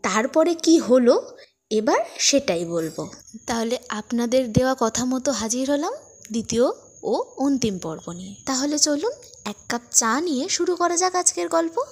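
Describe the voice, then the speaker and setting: A woman narrating a scripted story as host, in an expressive storytelling style. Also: high voice, moderate pace. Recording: music under the voice. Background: background music.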